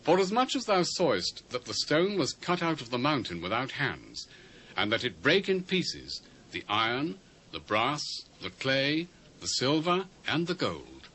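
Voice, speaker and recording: A man's voice: medium pitch, a bit bright, breathy edge, exaggerated voice. An actor with a British accent playing a solemn prophet who declaims grave, archaic pronouncements to a king in a dramatised scripture reading. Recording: noisy old cassette dub.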